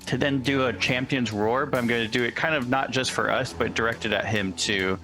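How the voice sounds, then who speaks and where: A man's voice: medium pitch, steady tone, normal speed, bright, forceful tone, soft background music, low-quality recording. A man playing a tabletop roleplaying game, describing his moves and speaking for his character. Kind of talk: casual in-game action description and in-character speech.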